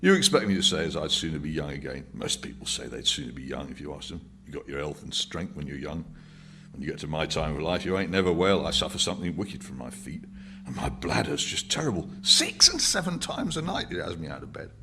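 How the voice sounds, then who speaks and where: A man's voice: extremely low pitch, big pitch swings, a little fast, sharp tone, slight background noise, full character voice. A man voicing a garrulous elderly working-class Londoner, reminiscing in colloquial speech in a novel reading. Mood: mellow, philosophic. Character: rambling old working-class Londoner in a pub.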